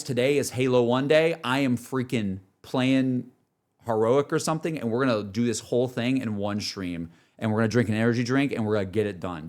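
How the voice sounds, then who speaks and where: A male game streamer chats off the cuff in a middle-pitched voice. He rambles candidly, working through his thoughts aloud as he addresses his viewers in loose, everyday speech. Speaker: game streamer talking to chat. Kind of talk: casual livestream chat, unscripted.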